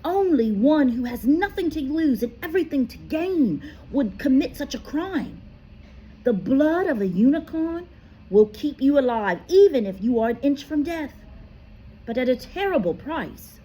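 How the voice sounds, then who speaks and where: A woman's voice: high voice, expressive, measured pace, mellow, slight background noise, pitched above the performer's own voice, poor audio. A woman reading aloud voices a noble male centaur who speaks solemnly and earnestly.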